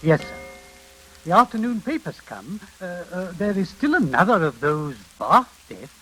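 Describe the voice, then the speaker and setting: High-voiced, deferential manservant. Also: male voice, sing-song, moderate pace, pushed and bright, exaggerated voice. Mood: formal, reporting. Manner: formal, obsequious, flustered stammer. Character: deferential, anxious manservant to a gentleman. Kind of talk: old-time radio suspense acting. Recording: hissy, crackling old radio broadcast.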